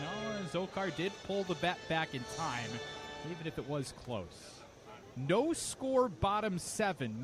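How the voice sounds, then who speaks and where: A man calling a baseball game live on radio as the play-by-play announcer, describing the action in detail. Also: a little high. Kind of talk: live radio sports commentary. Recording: clear outdoor ambient noise behind. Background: outdoor ballpark ambience.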